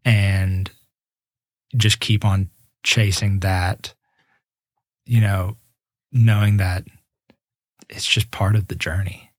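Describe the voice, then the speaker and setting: A young man in his twenties talks about his path as a musician in an easygoing interview. His voice sits a little low and he speaks earnestly, often circling back to restart a thought. The sound is dry, with no room around it. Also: little pitch movement, slow, long pauses, dry recording. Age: 20s. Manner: earnest, reflective, with many restarts. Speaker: guest musician. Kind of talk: casual podcast interview.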